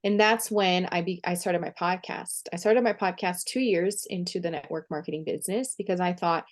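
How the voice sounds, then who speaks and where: Upbeat woman, lowish voice. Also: moderate pace. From Brazil. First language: Portuguese. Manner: upbeat, chatty, laughs easily. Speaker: guest, empowerment coach. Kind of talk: podcast interview.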